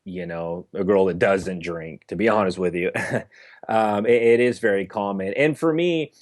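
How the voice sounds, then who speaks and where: A young man from Northern California, answering interview questions in a halting way, full of fillers and restarts. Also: low voice. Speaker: interview guest. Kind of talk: casual interview conversation.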